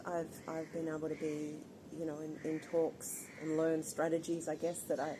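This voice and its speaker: A middle-aged woman from Australia answering interview questions in a thoughtful, earnest, measured way. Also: sits low. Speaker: guest, founder of an organization. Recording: background ambience noise.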